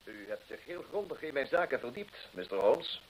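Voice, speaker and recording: An actor playing a proud, desperate gentleman landowner in an old radio drama, husky, earnest and theatrical. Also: mid-range voice, very animated, moderate pace, a little hiss or hum, rough recording. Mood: anxious, pleading. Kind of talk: old-time Dutch radio drama acting.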